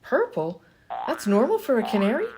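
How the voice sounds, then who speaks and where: A woman's voice: on the high side, wildly swooping pitch, moderate pace, background noise. A woman playing a sarcastic, impatient adventurer in a comedy radio play, with a dry delivery. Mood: baffled.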